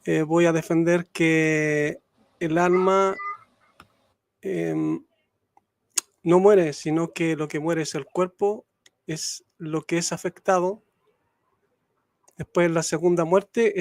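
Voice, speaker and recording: A man's voice: a little high, steady tone, takes it slowly, long pauses, dry recording, studio quality. A man from Chile, giving his debate position hesitantly with fillers. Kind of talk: online debate opening statement.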